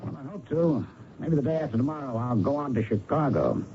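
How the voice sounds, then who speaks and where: An actor playing a polite, persistent investigator with tactful, probing delivery in an old-time radio drama. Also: male voice, medium pitch, animated, fairly quick, warm tone, lightly acted. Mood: businesslike. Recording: dull, noisy old radio recording.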